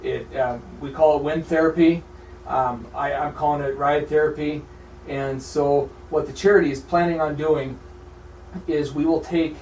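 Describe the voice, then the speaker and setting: An American man with a slightly high voice speaks earnestly and haltingly in an informal interview. The room echoes around him and he sounds some way from the microphone. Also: darkish tone. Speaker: military veteran, charity founder, interview guest. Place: indoor broadcasting room. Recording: echoey room, distant mic, faint noise.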